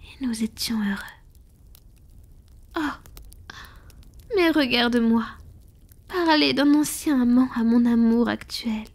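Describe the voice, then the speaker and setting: A woman playing a tender, proud centuries-old vampire in an intimate ASMR roleplay, murmuring slowly in a soft, breathy whisper. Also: on the high side. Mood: wistful, confiding. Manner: slow, intimate, affectionate murmuring. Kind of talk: French-language ASMR romantic roleplay.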